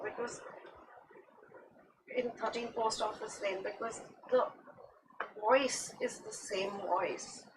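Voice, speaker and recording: A woman whose first language is Gujarati, speaking reflectively as an artist on a panel discussion. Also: fairly high pitch. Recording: distant mic with room noise.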